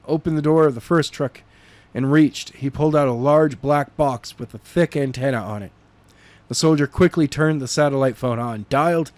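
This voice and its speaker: A man's voice: mid-range voice, a little mellow, no echo. A man reading a chapter of a novel aloud in an unpolished way, often stumbling and repeating words. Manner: halting, with frequent repeats and restarts. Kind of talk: unpolished read-aloud narration.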